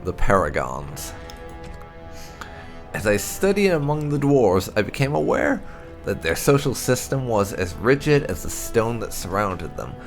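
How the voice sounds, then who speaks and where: A man with a low, gravelly voice reads fantasy lore like a storyteller, his pitch rising and falling widely. The delivery is measured and scripted, with soft music playing behind him. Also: big pitch swings. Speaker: gaming channel host reading game lore aloud.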